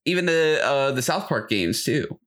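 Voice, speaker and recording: A man's voice: mid-range voice, very animated, normal speed, a bit bright. A man co-hosting a podcast, chatting casually in an opinionated, self-aware, slangy way. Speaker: podcast co-host. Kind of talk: casual chat about video games and media.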